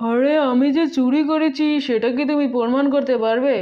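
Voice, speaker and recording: A woman's voice: high voice, steady tone, fairly quick, lightly acted. A woman voicing a sly, greedy fox uncle in a children's cartoon, teasing and evasive as he feigns innocence. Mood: defensive. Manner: teasing, evasive, self-justifying. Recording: dull lo-fi sound, faint music.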